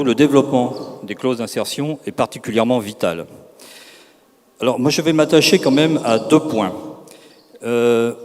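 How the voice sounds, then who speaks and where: A man's voice: mid-range voice, a little mellow. A man giving a semi-improvised seminar presentation as an organisation's representative, emphatic and insistent, sometimes searching for his words. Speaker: representative of a work-integration company speaking at a seminar. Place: large reverberant room at a seminar. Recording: echoey hall with long reverb.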